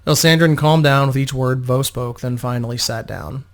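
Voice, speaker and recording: A man's voice: medium pitch, normal speed. A man narrating a fantasy game story as a dramatic reading, hosting it himself. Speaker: narrator and host.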